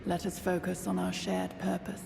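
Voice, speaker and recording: A devoted, reserved warrior woman from a fantasy game. Her voice is extremely creaky and speaks formally. It rings with long reverb over noisy ambience. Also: lowish voice, monotone, moderate pace. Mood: reserved, reverent. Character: aloof, devoted swordswoman serving a revered count. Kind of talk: scripted video game drama, formal speech.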